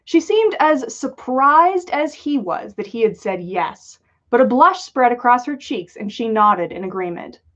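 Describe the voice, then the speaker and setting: A woman's voice: fairly high pitch, very animated, a little slow, fairly bright tone. Female story reader, upper-register voice, narrating fan fiction for a livestream, somewhat dull lo-fi recording. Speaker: podcast host reading fan fiction aloud. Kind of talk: animated story reading on a livestream.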